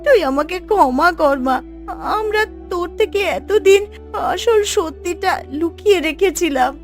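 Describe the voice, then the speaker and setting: A woman's voice: very high-pitched, lively intonation, unhurried, faint music behind. A woman playing a tender, sorrowful village wife and mother in a cartoon, with a pleading, emotional delivery. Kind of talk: Bengali cartoon voice acting.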